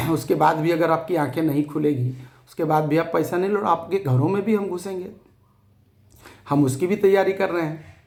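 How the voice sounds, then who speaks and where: A man with a hoarse, scratchy throat giving an impassioned, defiant address to fellow depositors, repeating phrases for emphasis. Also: a little high, fairly even intonation, normal speed, darkish tone, roomy sound. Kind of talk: impassioned rallying address to followers.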